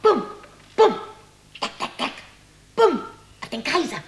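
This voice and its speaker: A woman's voice: high voice, very animated, unhurried. An actress playing a simple-minded woman in her fifties who chants childishly in a German radio play. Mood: gleeful, childish. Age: 50s. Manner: childish, chanting gunshot noises.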